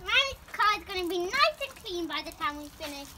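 A woman's voice: extremely high pitch, animated, brisk. A young girl chatting as she washes a car outdoors, narrating each step. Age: child. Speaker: child washing the car. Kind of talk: casual chat. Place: outdoors on a driveway. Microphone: handheld phone camera. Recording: noisy outdoor phone recording.

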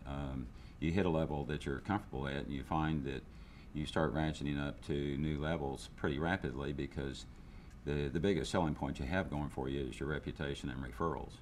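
A man's voice: rumbling low, fairly even intonation, fairly quick, few pauses, slight background noise. An older man, a business owner, speaking candidly in a seated interview, with frequent restarts and fillers. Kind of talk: interview testimonial. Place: indoor room, seated interview. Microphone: lapel.

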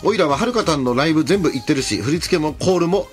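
A man acts out a nerdy, excitable idol superfan in a comic radio skit. He talks in pompous old samurai phrases with flustered stammers, and his pitch swings widely over light music. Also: quick pace, lightly acted. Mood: indignant. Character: excitable nerdy male anime idol superfan, archaic samurai-style speech.

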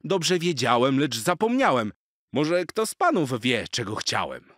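A big, absent-minded elephant father from a storybook, acted by a man. He declaims in a hearty, muddled way with a very animated pitch, in a dry close sound. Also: a little slow, no echo.

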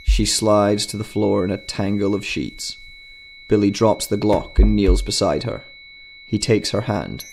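A man's voice: fairly low pitch, steady tone, measured pace, vocal fry, darkish tone, rough recording. A man reading a scripted short story as a dramatic narrator. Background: music.

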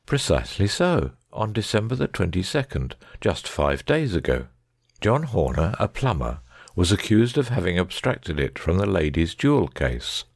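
A man's voice: low voice, very animated, normal speed, creaky, breathy edge, no echo, clean studio sound, full character voice. A man playing a brilliant, self-assured detective in a dramatic audiobook, speaking in a precise, didactic way. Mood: precise, intent.

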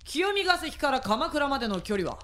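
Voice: high-pitched, very animated, moderate pace, a bit bright, slight background noise. An actor playing a cool, commanding young samurai general in a radio drama, speaking in terse, lordly lines. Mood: curt, commanding.

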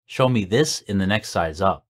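A man reading scripted example sentences for a language lesson, with clear, measured enunciation. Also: deep voice, lively intonation.

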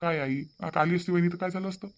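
Casting: voice actor as an easygoing young son, quick persuasive talk. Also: mid-range voice, steady tone, natural voice. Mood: reproachful. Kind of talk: cartoon voice acting.

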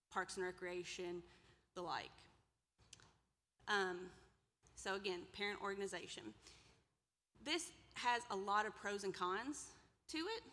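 A woman's voice: mid-range voice, a bit bright. A woman in her thirties giving a conversational workshop lecture from a podium, asking the audience questions now and then. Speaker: extension presenter. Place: large lecture room indoors. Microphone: podium mic, far camera. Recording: reverberant hall.

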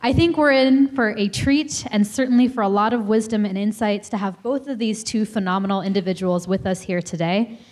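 A woman's voice: mid-range voice, a little fast, a little mellow. Poised young woman introducing a guest. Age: 20s. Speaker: student event coordinator. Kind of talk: formal introduction speech. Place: lecture hall podium. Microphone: handheld mic.

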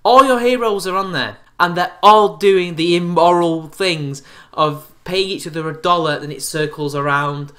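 Sarcastic young man with a bright voice. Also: sits high, normal speed. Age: 20s. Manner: sarcastic, exasperated rants. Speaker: YouTube commentator. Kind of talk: response video commentary. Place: small bright room indoors.

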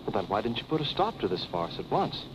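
An actor playing an earnest, flustered attorney and family friend in 1940s film style. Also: male voice, lowish voice, very animated, quick pace, background noise. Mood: flustered, exasperated. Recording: dull old film soundtrack with music.

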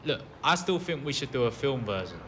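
A man's voice: mid-range voice, very animated, fairly quick, fairly bright tone. An actor playing a blunt, laid-back young man behind a camera in an audio drama, casual and matter-of-fact, with a British accent. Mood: blunt, insistent. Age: young adult. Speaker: actor in a fiction podcast. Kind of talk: naturalistic audio drama dialogue. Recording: faint ambient background noise.

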